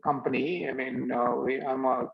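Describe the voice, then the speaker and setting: A man asking a business question over a video call, hesitant with many "uh" pauses. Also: medium pitch, fairly even intonation, vocal fry. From India. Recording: dull, muffled call audio.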